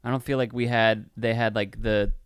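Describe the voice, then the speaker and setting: A man co-hosting a podcast, casually discussing films in a rambling, halting way. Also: on the low side, little pitch movement, smooth, no room sound, studio quality. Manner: rambling, halting, heavy use of "like". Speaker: podcast co-host. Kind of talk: casual film review chat.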